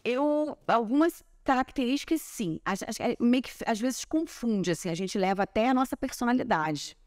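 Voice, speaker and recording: A woman in her forties, an actress from Brazil, telling stories in a relaxed chat on a sofa in a bright living room. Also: on the high side, moderate pace, no echo, clean studio sound. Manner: chatty, animated storytelling with hand gestures. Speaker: actress and guest. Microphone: small clip-on mic on collar.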